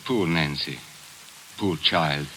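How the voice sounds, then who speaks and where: An actor playing a gentle, sensitive blind pianist in a 1940s radio suspense drama, speaking in a deliberate, halting, dramatic way. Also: male voice, rumbling low, a little hiss or hum, full character voice, poor audio. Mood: sorrowful. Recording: old 1940s radio broadcast, music behind.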